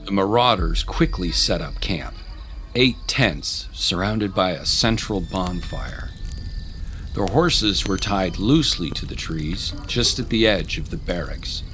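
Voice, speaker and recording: A man's voice: sits low, lively intonation, takes it slowly, fairly bright tone. A man narrating a scripted dramatic story as an audiobook narrator. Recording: music under the voice.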